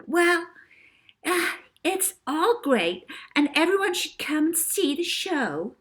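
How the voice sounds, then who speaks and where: Child starlet: high-pitched, saccharine, showy, drawn-out gush, children's storybook. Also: female voice, very animated, fairly bright tone, heavily acted. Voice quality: sugar-sweet, high. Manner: gushing, drawn-out stage-darling delivery. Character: sugary little girl child star, showy and gushing.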